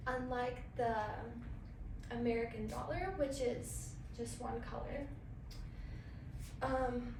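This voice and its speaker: A young woman whose first language is Hungarian gives an informative class speech in a slightly high voice. She talks earnestly, pausing often with ums. The recording is roomy and distant, with noticeable background noise. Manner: earnest, many ums and pauses. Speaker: student giving a class speech. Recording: echoey room, voice from far off, background noise.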